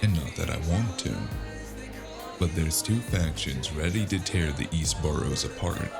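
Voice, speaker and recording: A man playing a weary, cynical private detective in a brooding, deliberate noir audio drama monologue. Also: a bass voice, exaggerated voice. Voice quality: gravelly. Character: weary, cynical hard-drinking male private detective in a gritty city. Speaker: audio drama narrator and lead actor. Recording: music underneath. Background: music.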